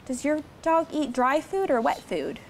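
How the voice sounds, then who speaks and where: A young woman hosting story time, reading a picture book aloud to children in an expressive, encouraging way. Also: sits high, measured pace, slight background noise. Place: studio set with seated children.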